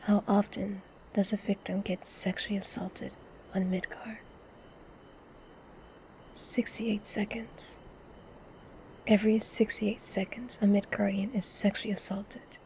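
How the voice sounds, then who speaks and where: A woman's voice: medium pitch, flat delivery, measured pace, pauses often, a little mellow, in a whisper, close to an everyday voice, poor audio. A woman playing a blunt, earnest and wounded heroine in an audio drama. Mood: confrontational. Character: blunt, intense, wounded heroine, protective of victims. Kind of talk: fan audio drama acting, dramatic monologue.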